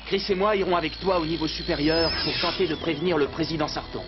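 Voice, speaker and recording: A man's voice: fairly high pitch, quick pace, dull audio, loud music behind the voice, lightly acted. A man playing a heroic cartoon rebel leader, earnest, decisive and dramatic. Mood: urgent. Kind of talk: French cartoon dub acting.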